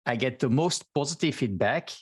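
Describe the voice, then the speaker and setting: A man's voice: mid-range voice, lively intonation, normal speed, breathy edge. A man from Belgium, a native Dutch speaker with a Belgian accent, chatting earnestly as a marketer guest on a podcast. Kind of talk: casual interview chat.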